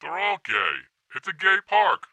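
Man playing a hapless kidnapper with forceful bright voice. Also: sits high, big pitch swings, unhurried, no room sound, heavily acted. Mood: defensive. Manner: hesitant, defensive. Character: hapless, flustered male kidnapper, easily cowed. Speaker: actor in audio comedy. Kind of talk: comedic audio drama acting.

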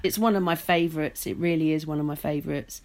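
Low-voiced middle-aged British woman. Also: fairly even intonation, quick pace, a little mellow. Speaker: guest, pantomime writer and director. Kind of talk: casual interview chat.

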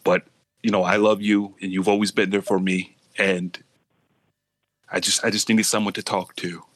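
A low, halting young man stammers and restarts his sentences, as a prank caller puts him on. The sound is close and dry, with no room at all. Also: flat delivery, fairly bright tone, no echo. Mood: hesitant. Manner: halting, stammering, repeats words. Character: nervous young man coming out to family.

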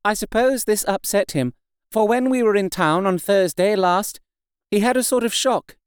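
A man voicing a demure young married woman in an audiobook, speaking modestly, gently and politely. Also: sits high, moderate pace, clean tone, dry recording, studio quality, lightly acted. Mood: concerned.

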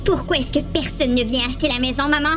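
Exasperated teenage schoolgirl, played by a woman, very high voice, dramatic and complaining, horror audio play over prominent music. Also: steady tone, fast talker, a bit bright. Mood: fed up. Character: exasperated schoolgirl sulking at her mother.

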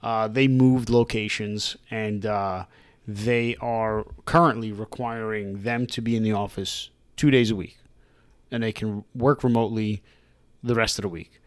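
A man co-hosting a podcast in casual chat, with a loud voice and a jokey, chatty way of talking. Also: lowish voice, fairly even intonation, unhurried, no room sound. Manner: jokey and chatty, laughs often. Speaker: podcast co-host.

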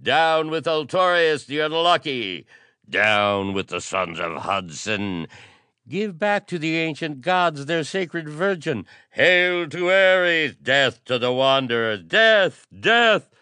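A man voicing fierce, fanatical warriors shouting threats in an adventure story. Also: on the high side, very animated, slow, clear, resonant tone, no room sound, voice pushed up, heavily acted. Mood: frenzied. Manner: shouting, declamatory.

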